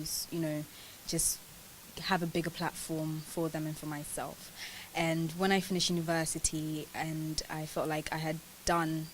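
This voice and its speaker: A woman in her twenties from Ghana speaks as an interview guest, answering in a casual, chatty way. Her voice is on the low side, and there is a faint hiss on the recording. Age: 20s. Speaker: interview guest, pageant winner. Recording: clearly hissy, noisy sound.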